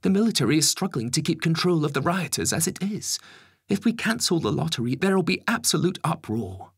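A man acts out a pragmatic, cold-blooded Home Secretary in a dramatic audiobook. The voice is pushed well up in pitch, slightly rough and dryly recorded, pressing each argument with calculating insistence. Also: big pitch swings, a little fast, dry recording, studio quality, full character voice. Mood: cold, insistent. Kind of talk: acted dialogue in a dramatic story reading.

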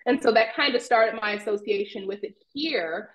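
A woman's voice: a little high, moderate pace. A woman in her forties from Indiana, a psychology professor, giving a fluent, organized account of her work in an interview over a video call. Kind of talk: interview answer. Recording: muffled video call audio.

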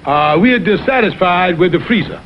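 A man's voice: sits high, animated, fairly quick. An actor playing a blustering, scheming colonel in a vintage animal cartoon, pompous and oratorical in his arguing. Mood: indignant. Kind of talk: vintage cartoon sitcom acting. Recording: muffled, noisy vintage TV cartoon soundtrack.

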